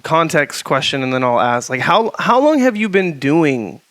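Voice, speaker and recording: A man's voice: fairly high pitch, animated, normal speed, a bit bright, dry recording. A man co-hosting a podcast, asking questions in a hesitant, rambling way. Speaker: podcast co-host. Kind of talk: casual interview questions.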